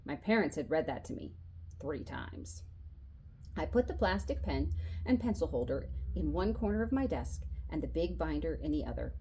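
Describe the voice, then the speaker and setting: A woman reading a children's novel aloud as an expressive storyteller, narrating in the voice of a boy and acting out the dialogue. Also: deep voice, slight background noise. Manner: animated, acts out dialogue.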